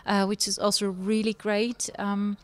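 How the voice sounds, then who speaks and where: Hesitant woman interviewer with flat pitch. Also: unhurried. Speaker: podcast interviewer. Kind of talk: casual interview questions.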